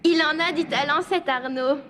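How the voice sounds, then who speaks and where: A woman's voice: high voice, steady tone, moderate pace, pushed and bright, slight background noise. A woman voicing a wide-eyed, adventurous schoolchild in a French cartoon dub, in a reverberant sound. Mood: curious. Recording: long reverb, music behind.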